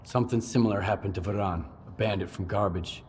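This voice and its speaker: An actor playing a plainspoken, wary drifter in a video game, terse and matter-of-fact. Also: male voice, lowish voice, steady tone, quick pace, a little mellow, a little hiss or hum, close to an everyday voice. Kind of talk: video game cutscene acting.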